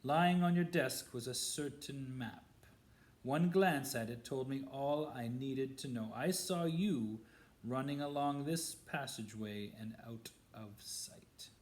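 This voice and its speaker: A man's voice: medium pitch, takes it slowly, darkish tone, lightly acted. A man voicing a cold, spiteful male wizard professor who talks in a silky, sneering way. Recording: roomy echo.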